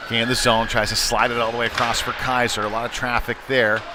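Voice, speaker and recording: A man's voice: mid-range voice, fast. A man doing live play-by-play hockey commentary in clipped running phrases that lift with the action. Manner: clipped running phrases, excited on big plays. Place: indoor ice rink during a game. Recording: clear rink noise behind the voice.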